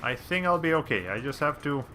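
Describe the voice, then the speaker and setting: A male streamer with a raspy voice giving casual, rambling commentary while playing a game, often restarting his sentences. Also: a little high, lively intonation, a little fast, pushed and bright, soft background music. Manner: rambling, chatty, frequent restarts.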